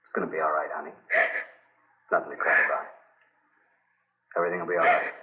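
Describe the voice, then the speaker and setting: A man's voice: a bass voice, flat delivery, fast talker. An actor playing a shaken but forgiving army captain in his thirties in a 1950s radio drama. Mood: tender, subdued. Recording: muffled narrow-band old radio recording.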